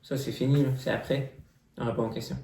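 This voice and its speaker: A man's voice: mid-range voice, little pitch movement, fast talker, gravelly, recorded from a distance. A man from Africa in a casual quiz game, insisting on his point and repeating it. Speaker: channel co-host. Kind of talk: casual quiz game between two people. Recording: echoey room with faint noise.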